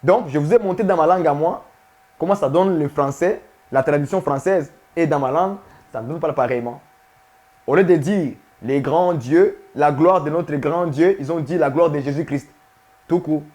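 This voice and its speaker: High-voiced man preaching emphatically. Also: moderate pace, darkish tone. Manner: emphatic, repetitive, questions the listeners. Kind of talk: sermon teaching to viewers.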